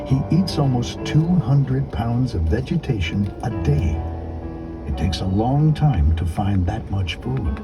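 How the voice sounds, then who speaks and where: A man narrating a children's storybook in an expressive, deliberate, scripted storytelling delivery. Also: medium pitch, over loud music, poor audio. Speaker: audiobook narrator.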